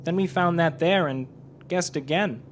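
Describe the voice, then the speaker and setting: A man's voice: a little high, normal speed, pitched above the performer's own voice, lightly acted. A man voicing an earnest, pleading young country girl with folksy rural phrasing, in a live story reading. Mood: earnest, uneasy. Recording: noisy live club recording.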